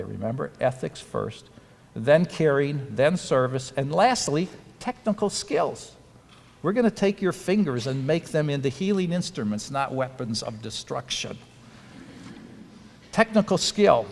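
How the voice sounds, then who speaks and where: A man's voice: medium pitch, vocal fry. A man as a dental school dean giving an earnest, advice-filled ceremony speech. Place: auditorium. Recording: reverberant hall.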